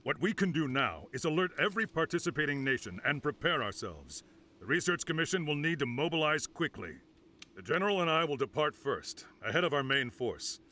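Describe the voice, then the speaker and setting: An actor playing a resolute, authoritative commander in a video game, giving firm and formal orders. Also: male voice, on the high side, big pitch swings, brisk, sharp tone. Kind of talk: dramatic video game cutscene dialogue.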